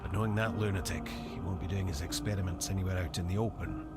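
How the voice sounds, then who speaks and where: An actor playing a cynical, shadowy guild member in a fantasy game, speaking in a measured, wry, secretive way. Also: male voice, low-pitched, fairly even intonation, close to an everyday voice. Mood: grave, wry. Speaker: quest giver in a fantasy game. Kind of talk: scripted fantasy game dialogue. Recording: music behind the voice.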